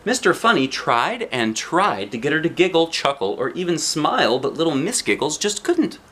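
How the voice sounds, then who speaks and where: A man reading a children's book aloud like a dad, with expressive narration aimed at young listeners. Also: on the high side, normal speed. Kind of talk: animated children's storybook narration.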